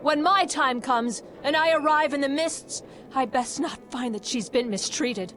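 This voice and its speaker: A woman's voice: high-pitched, measured pace, a bit bright, soft background music. A woman playing a grieving, defiant warrior in a video game cutscene, solemn and bitter.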